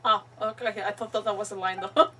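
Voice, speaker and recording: A woman's voice: mid-range voice, steady tone, fairly quick, pushed and bright, recorded from a distance, a little hiss or hum. A woman streaming a video game, giving casual, rambling live commentary.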